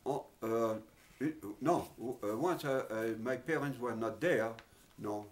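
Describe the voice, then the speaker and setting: An older man with a French accent, recalling his past in an interview, speaking haltingly with many pauses and fillers. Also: medium pitch. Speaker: oral history interviewee. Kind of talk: reminiscing in an interview.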